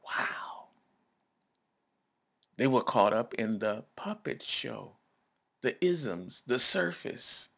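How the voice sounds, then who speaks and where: A man's voice: medium pitch, big pitch swings, extremely slow, creaky, pauses often, fairly bright tone. A man preaching a sermon in a conversational, emphatic way, repeating phrases and calling on listeners to respond.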